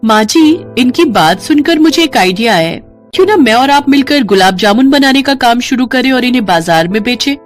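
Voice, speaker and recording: A woman playing a poor, kind but harried young village mother in a storybook tale, earnest and expressive. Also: fairly high pitch, brisk, faint music behind, pitched above the performer's own voice, close to an everyday voice. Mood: eager.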